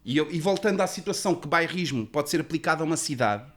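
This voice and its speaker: A man's voice: fairly high pitch, expressive, a bit bright. A young adult man with a Lisbon Portuguese accent, chatting casually and loosely as a podcast host. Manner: loose, slangy, quick agreeing interjections.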